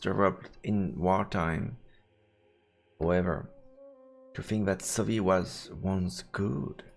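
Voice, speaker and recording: A man with a French accent reading game text aloud and adding casual, playful, theatrical commentary as a live streamer. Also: on the low side. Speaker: live streamer playing a visual novel. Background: faint game music.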